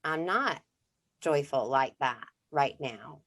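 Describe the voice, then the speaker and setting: A woman's voice: low voice, lively intonation, takes it slowly, a bit bright. A middle-aged woman co-hosting a podcast, telling a personal story in casual chat and acting out what people said. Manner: storytelling, acts out quotes, restarts sentences. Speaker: podcast co-host.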